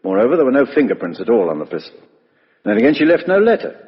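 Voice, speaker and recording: British police inspector, brisk and blunt, animated pitch, vintage radio drama, reverberant muffled recording. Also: male voice. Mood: brisk, probing. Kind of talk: 1950s radio drama acting.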